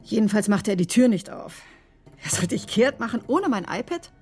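Radio drama: sharp-tongued female doctor, played by an actress, controlled, defensive, ordinary mid pitch. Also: big pitch swings, normal speed, close to an everyday voice. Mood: tense recounting. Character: bitter, sharp-tongued woman doctor recounting her ordeal. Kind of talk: radio drama acting, confessional narration and dialogue.

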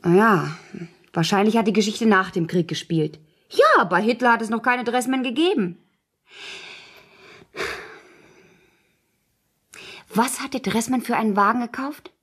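An actress playing a shrewd, sceptical woman in a German radio play, questioning a story in a dryly mocking, husky voice. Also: on the high side, fairly quick. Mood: shrewd deduction.

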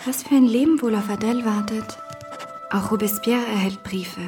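A woman's voice: medium pitch, moderate pace, a little mellow, lightly acted. An actress playing a wistful young unmarried woman confiding in her diary in a radio drama, slightly distant from the microphone. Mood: wistful musing. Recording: distant from mic, music behind.

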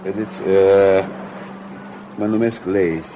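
An actor playing a bitter, desperate doctor in a radio drama, with a brusque, halting manner. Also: male voice, sits low, steady tone, lo-fi sound, noisy background, lightly acted. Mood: bitter, agitated. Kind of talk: Romanian radio theatre acting.

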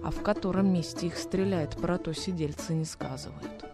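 A woman's voice: lowish voice, fairly even intonation, normal speed, music in the background, close to an everyday voice. A woman playing a homesick young peasant orphan boy in a radio drama, plaintive and naive, with rustic folk speech. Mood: naive wonder. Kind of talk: dramatic radio reading of a classic story.

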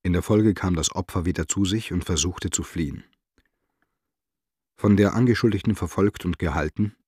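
Cold official reading a police report, radio drama, deep raspy voice, totally matter of fact. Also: male voice, darkish tone, dry recording, clean studio sound. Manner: matter-of-fact, bureaucratic, detached. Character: impassive official reading out a police interrogation record.

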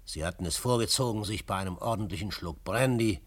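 A man plays a reflective narrator recalling Western adventures for radio drama. His voice is very low and husky, in a scripted storytelling style. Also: brisk, natural voice.

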